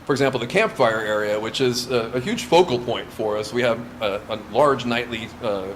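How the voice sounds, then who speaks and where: A man's voice: mid-range voice, normal speed, a little hiss or hum. A man from Southern California whose first language is Lithuanian, answering interview questions about a scout camp in an eager, casual way. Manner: eager, with restarts and light laughs.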